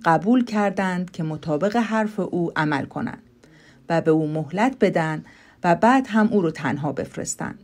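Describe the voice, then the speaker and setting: A woman storyteller narrating a scripted fairy tale for a podcast in an expressive, measured manner. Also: fairly low pitch.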